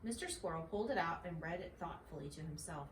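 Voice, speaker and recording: A woman's voice: low-pitched, lively intonation, fast. A woman reading a children's story aloud as a mother would, in a home-style recording. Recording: echoey, slightly distant, faint noise.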